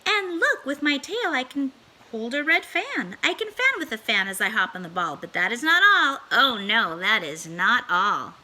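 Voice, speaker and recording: A woman's voice: on the high side, big pitch swings, moderate pace, pushed and bright, full character voice. A woman voicing a playful, mischievous male cat from a storybook, showy, eager and boastful. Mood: boastful, excited.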